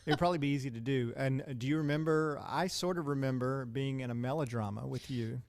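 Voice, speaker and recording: A man's voice: mid-range voice, quick pace, darkish tone. An American man casually interviewing guests as a podcast host.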